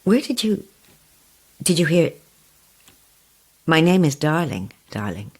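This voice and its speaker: An actress playing a sly, sweetly menacing ancient old woman in a horror audio drama, husky and gravelly, with slow, relishing delivery. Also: low voice, very animated, full character voice. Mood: haughty, dismissive. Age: older. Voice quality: husky, gravelly, sometimes hissing whisper. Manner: slow, relishing, theatrical, condescending endearments.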